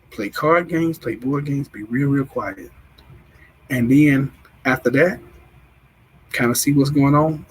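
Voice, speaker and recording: A man's voice: mid-range voice, slightly rough, poor audio. A man hosting a casual livestream, reading out chat comments and rambling with frequent restarts. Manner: rambling, repeats himself, restarts often. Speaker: livestream host.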